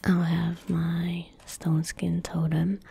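A young woman whispering gently and breathily in a soft-spoken ASMR style as she comments on a game she plays. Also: fairly low pitch, completely flat delivery, gravelly, husky. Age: young adult. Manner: gentle, unhurried, murmured asides to self. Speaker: ASMR gaming streamer. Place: indoor room at a computer. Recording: faint background noise, game ambience. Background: soft game ambience, faint noise.

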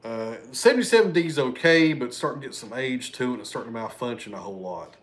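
A man's voice: medium pitch, lively intonation, quick pace. A man, hosting a casual livestream from his living room and chatting with viewers. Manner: chatty, thanks viewers by name, loses his train of thought. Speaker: livestream host. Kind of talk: casual livestream chat reading super chats. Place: living room at home, indoors.

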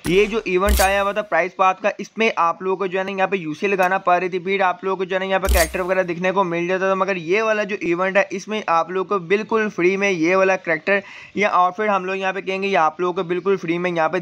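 Fast-talking, higher-pitched man explaining a game. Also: steady tone, a bit bright. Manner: repetitive explanatory phrasing with frequent verbal tags. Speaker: gaming video presenter. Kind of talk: casual game event walkthrough. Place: voice-over on screen-captured gameplay. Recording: dull, lo-fi voice recording.

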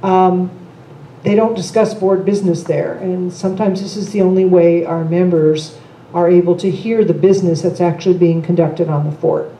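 An older retired woman chairing a board meeting, speaking in a measured, procedural way with frequent ums. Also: fairly low pitch, fairly even intonation, mellow, slight background noise. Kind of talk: meeting discussion. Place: large meeting room with long conference table. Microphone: table microphone. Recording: echoey room, camera mic.

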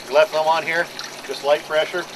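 A man's voice: on the high side, fairly even intonation, normal speed. Middle-aged man, boat captain, plain-spoken instructions, outdoors on a deck, camera mic, noticeable background noise. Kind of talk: instructional briefing to a charter group. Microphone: camera mic from a few feet away.

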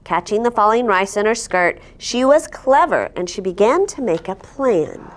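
Female story-time host, forties, animated melodic delivery for young listeners, expressive read-aloud. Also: medium pitch, normal speed. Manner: expressive, asks listeners simple questions. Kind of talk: children's picture-book read-aloud. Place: indoor studio set at a table. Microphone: lapel.

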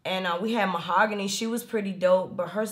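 A woman in her thirties with a raspy alto voice, chatting casually in an interview and rambling through stories with many fillers. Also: medium pitch, fairly even intonation, a little fast, a bit bright. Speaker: rapper and singer interviewed. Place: indoor room, seated on a leather couch.

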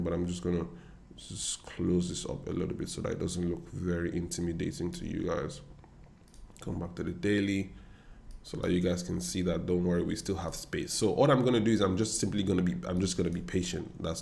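A man's voice: low-pitched, vocal fry, mellow. A young Nigerian man with a Nigerian accent talking viewers through forex charts in a confident, chatty way, recorded at his desk. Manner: confident, chatty, talks straight to viewers with asides. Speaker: forex trader and educator. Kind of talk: screen-share trading analysis. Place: indoor room.

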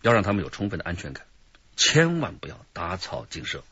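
An actor playing a calm, wry senior police officer in a radio drama, with a deep, husky voice. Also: male voice, a little fast, no echo, highs cut off, full character voice. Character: dry-humored, calm older male police officer. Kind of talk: broadcast radio drama acting.

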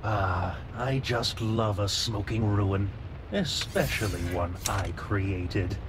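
An actor playing an arrogant, scheming robot jet commander in a video game, giving orders and gloating. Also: male voice, fairly low pitch, slow pace, noisy background. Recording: loud music and engine sounds behind.

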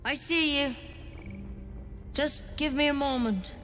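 An actress playing a caring young druid healer in a fantasy game, brisk, inquisitive and matter-of-fact. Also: female voice, high-pitched, noisy background. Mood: focused, caring. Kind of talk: naturalistic fantasy game acting. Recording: long reverb with music behind.

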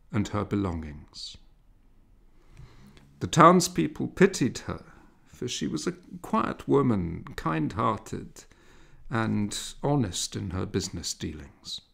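A man reading a literary story aloud as a narrator, in a measured, expressive way with dramatic emphasis. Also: on the low side, creaky. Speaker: audiobook narrator.

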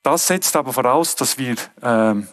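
A middle-aged man with a Swiss German accent gives a conference keynote from a stage lectern, earnest and often pausing to rephrase. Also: mid-range voice. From Switzerland. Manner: earnest, with frequent hesitations and restarts. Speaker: keynote speaker.

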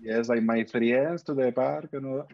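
A man's voice: medium pitch, fairly even intonation, normal speed, creaky, muffled recording, slight background noise. A man in his thirties from Spain with a Spanish accent, a guest speaking candidly on a video call and swearing freely. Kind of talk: casual interview chat. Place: home room, indoors.

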